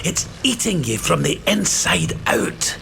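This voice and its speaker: Gravelly Scottish old man. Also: fairly high pitch, big pitch swings, measured pace, pushed and bright, a little hiss or hum, heavily acted. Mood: concerned.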